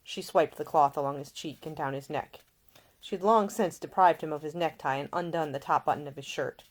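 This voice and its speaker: Lowish-voiced woman narrating a novel. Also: a little fast. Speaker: author reading their own novel. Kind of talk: scripted audiobook narration.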